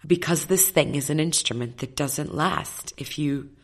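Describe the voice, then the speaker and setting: A woman in her thirties, an opera singer, talking as an interview guest. Her voice is slightly husky today, and she tells stories in a reflective, lively way with plenty of fillers. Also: deep voice, measured pace. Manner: reflective, animated storytelling with many fillers. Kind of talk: casual interview chat.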